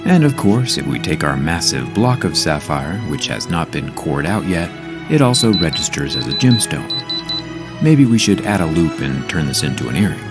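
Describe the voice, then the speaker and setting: A man's voice: very deep voice, very animated, normal speed. A man narrating a scripted tech review in an upbeat, explanatory way, with background music playing. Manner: upbeat, explanatory, product-testing tone.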